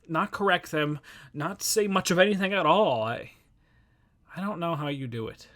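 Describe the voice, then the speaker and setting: A man plays a nervous young nonbinary film fan in a stage monologue. The voice sits fairly high for a male one. The speech rambles and stumbles over itself, self-deprecating throughout. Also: fairly bright tone, natural voice. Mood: earnest. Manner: halting, rambling, self-interrupting. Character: anxious, self-deprecating nonbinary young moviegoer talking to a poster. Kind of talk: dramatic monologue reading.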